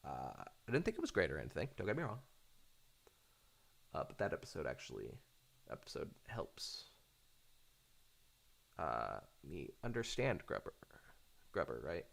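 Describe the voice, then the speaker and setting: Man, deep voice, vocal fry, animated intonation, casual fan rambling, hesitant phrasing. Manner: hesitant, halting, repeats words as they think aloud. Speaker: host of a cartoon fan channel.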